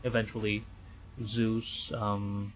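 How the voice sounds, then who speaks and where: A man giving casual, halting live game commentary and reading story text aloud. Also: lowish voice, completely flat delivery, faint music behind. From Philippines. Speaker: streamer.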